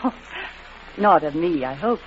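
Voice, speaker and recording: A woman's voice: sits low, lively intonation, unhurried. An actress playing a spirited, friendly young lady with a playful, genteel manner, in an old-time radio drama. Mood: playful, teasing. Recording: dull old radio recording.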